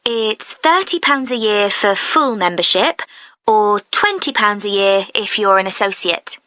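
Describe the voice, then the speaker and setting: A woman playing a friendly, efficient club receptionist, asking clear, helpful questions in a scripted listening-test dialogue. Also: medium pitch, lively intonation, unhurried, sharp tone, no room sound. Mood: helpful, interested.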